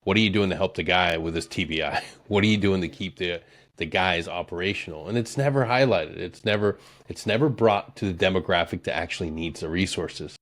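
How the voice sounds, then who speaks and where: A man's voice: low-pitched, fairly quick. A man hosting a podcast in casual conversation, talking bluntly with some swearing and getting heated on points he cares about. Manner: blunt, profane, animated when riled. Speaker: podcast host. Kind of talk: casual interview chat.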